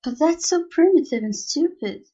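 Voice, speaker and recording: A woman playing a skeptical, dismissive mortal in a motion comic, with a husky voice. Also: sits high, lively intonation, moderate pace, dark tone, muffled recording. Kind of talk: scripted motion comic dialogue.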